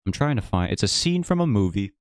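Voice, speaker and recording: A man's voice: fairly low pitch, sing-song, quick pace, clear, resonant tone, no echo, studio quality. A man streaming while he draws his webcomic, who rambles in a casual, self-mocking and jokey way. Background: faint background music.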